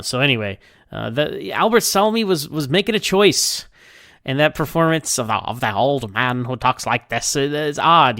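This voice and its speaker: A man with a clear, resonant voice of medium pitch records informal commentary. He rambles in an unscripted way, halting and repeating words. The sound is close and dry, with no room echo. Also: fairly bright tone. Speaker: podcast listener sending recorded feedback. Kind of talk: casual, unscripted episode review.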